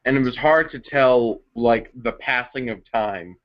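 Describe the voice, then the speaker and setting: A man's voice: mid-range voice, measured pace, highs cut off, over a noisy crowd. A young man casually reviewing films with friends in an informal, chatty way. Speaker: amateur film critic on a group review show.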